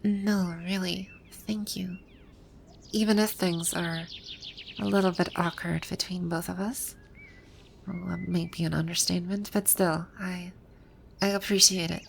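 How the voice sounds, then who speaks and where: A woman playing a proud, teasing video game villainess come to life, speaking softly in an intimate ASMR roleplay. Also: on the low side, flat delivery, unhurried, a little hiss or hum. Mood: shy, vulnerable. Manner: smug, teasing, soft-spoken with breathy asides. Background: birdsong and outdoor ambience sound effects.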